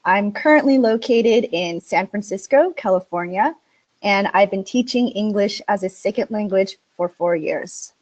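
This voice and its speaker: Woman teacher doing an online english webinar, upbeat and clear, medium pitch. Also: moderate pace, a little mellow. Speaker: ESL instructor. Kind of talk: webinar presentation.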